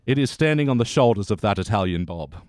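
A man hosting a casual, jokey review podcast in an animated way. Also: lowish voice, quick pace, no room sound, clean studio sound. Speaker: podcast host. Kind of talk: casual comedic review chat.

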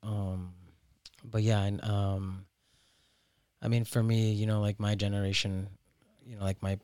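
A man in his thirties speaks as a guest in a casual interview. His voice is fairly low and smooth, recorded dry and close. He thinks aloud informally, pausing and peppering his talk with "you know". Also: level tone, darkish tone, dry recording. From Miami, United States, born in Peru. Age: 30s. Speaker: interview guest, restaurant owner.

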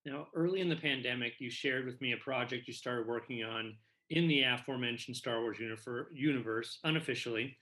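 Bright-voiced male interviewer. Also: medium pitch, brisk, low-quality recording. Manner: friendly, encouraging interviewer with brief affirmations. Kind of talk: casual interview.

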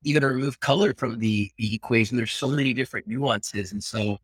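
A Peruvian-born man in his thirties, speaking as an interview guest. He talks in a reflective, earnest way at a middling pitch and now and then drops into Spanish. The recording is dry and close. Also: fairly quick, slightly rough, dry recording. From Peru. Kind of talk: casual interview conversation.

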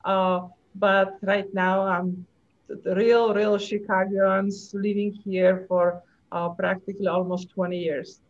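A woman's voice: medium pitch, level tone, slow. Guest voice: a middle-aged woman with a Polish accent, chatty and upbeat, on a dull lo-fi line. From Poland. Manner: chatty and cheerful, laughs between phrases. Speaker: guest, pathologist. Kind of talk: casual chat. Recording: dull lo-fi audio.

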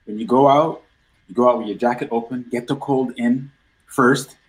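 Middle-aged man, from Guyana, talk show guest, casual chat, average pitch. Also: steady tone, moderate pace, rough recording.

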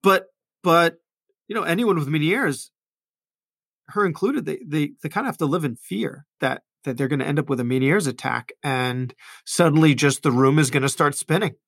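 A male ENT physician talks through patient stories in a relaxed, conversational way. His voice sits a bit high for a man, and he often repeats words and restarts sentences as he thinks aloud. The recording is close and dry, with no room sound. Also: moderate pace, creaky, no room sound. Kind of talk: conversational talk about patient cases.

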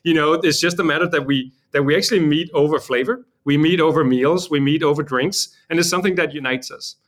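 A man's voice: a little high, brisk. A man from Denmark speaking as an interview guest and company founder, explaining things in an informal, conversational way.

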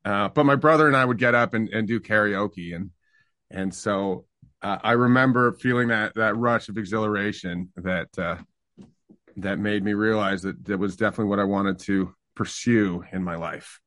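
A middle-aged man from Canada talks reflectively as an interview guest, pausing on fillers and restarting his sentences. His voice sits a little low but has a bright, forward edge. The recording is dry and close. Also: steady tone, no room sound. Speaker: guest singer-songwriter. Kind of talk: relaxed interview conversation.

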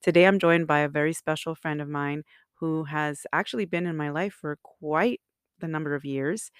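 A woman's voice: sits low, normal speed, clean tone, no room sound, studio quality. A woman in her forties hosting a faith-based podcast, talking in an encouraging, prayerful way. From Miami area. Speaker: podcast host.

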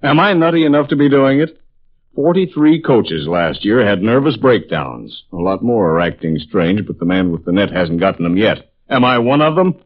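A hard-boiled college coach from a 1950s radio play. He is blunt and sardonic, with a fairly low, very lively voice. The sound is thin and tinny, like a phone line. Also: normal speed. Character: gruff, hard-boiled, sarcastic male college football coach. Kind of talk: 1950s radio drama acting. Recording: tinny, band-limited vintage radio recording.

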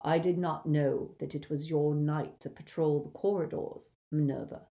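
A woman reading aloud, voicing a cold, menacing male professor who speaks in a slow, silky, measured way. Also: deep voice, fairly even intonation, warm tone. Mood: silky, suspicious.

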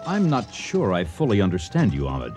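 Low-voiced expedition leader. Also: male voice, wildly swooping pitch, normal speed, big-room sound, over music, heavily acted. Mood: questioning, concerned. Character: measured, polite archaeologist in charge of a dig. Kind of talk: radio drama acting.

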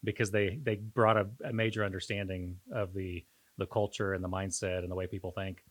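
Deep-voiced man chatting, halting. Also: level tone. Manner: halting, searching for words. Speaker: podcast co-host. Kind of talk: casual reflective conversation.

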